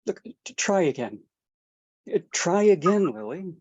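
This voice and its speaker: A man's voice: high voice, sing-song, measured pace, a little mellow, heavily acted. An actor reading a panicked fifteen-year-old boy who stammers nervously at a table read. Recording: dull lo-fi sound.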